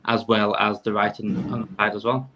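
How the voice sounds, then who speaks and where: This is a man in his thirties with a Yorkshire accent. His pitch sits in the middle range for a man. He talks in a casual, conversational way, like a guest answering questions on a fan podcast. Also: level tone, a little fast, sharp tone, dull audio. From Yorkshire, England. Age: 30s.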